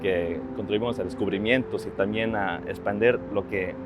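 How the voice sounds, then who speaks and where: A man's voice: lowish voice, fairly even intonation, normal speed, highs cut off, noisy background. A man in his thirties, born in Ecuador, speaking earnestly about his work and family in a personal interview, often restarting his phrases. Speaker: social media strategist. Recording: clear music bed behind voice. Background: music.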